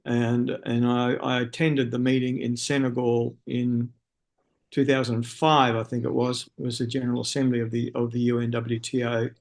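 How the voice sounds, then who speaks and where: A man with an Australian accent opens an online symposium over a video call. His voice sits at an ordinary middle pitch. He talks in a friendly way, with plenty of "uh" fillers and restarts. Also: level tone, moderate pace, creaky. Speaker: webinar host. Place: speaking from a room in a city at night.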